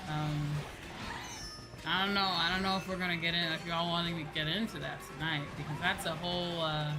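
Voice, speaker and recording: A woman's voice: lowish voice, fairly even intonation, fairly quick, music in the background, poor audio. A woman streamer chatting casually and informally to viewers, musing aloud and circling back over her phrases. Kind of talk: casual chat while livestreaming a video game.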